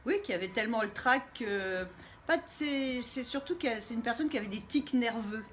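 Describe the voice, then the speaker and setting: A woman's voice: on the high side, normal speed. A middle-aged woman, a guest coach talking expressively in a casual live stream. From Canada (Montréal), Italian heritage. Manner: expressive, playful, laughs easily. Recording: faint background noise.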